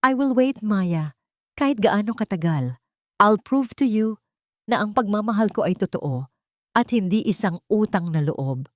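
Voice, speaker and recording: A woman's voice: mid-range voice, expressive, measured pace, no echo, studio quality, pitched above the performer's own voice, lightly acted. A woman with a Filipino accent voicing a weary, remorseful man who pleads his love earnestly in an audio story. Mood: earnest, devoted. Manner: pleading, switches between Tagalog and English.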